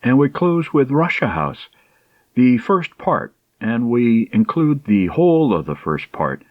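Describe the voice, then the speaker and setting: A man's voice: medium pitch, very animated, unhurried, no echo, dull audio. A man introducing a radio programme as its host.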